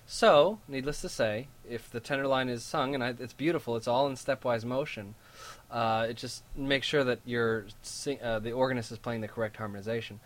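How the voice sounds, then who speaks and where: A man with a higher voice explains how a sung line fits the organ part, in a plain teaching manner with a few fillers and restarts. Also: moderate pace. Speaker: church singer demonstrating a psalm setting. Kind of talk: explaining music between sung demonstrations. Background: organ music.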